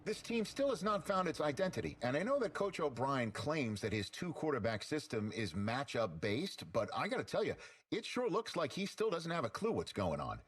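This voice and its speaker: A man playing an opinionated, critical sports pundit with a husky voice, giving punchy commentary in a video game. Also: on the high side, sing-song, moderate pace. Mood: critical, emphatic. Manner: emphatic, opinionated.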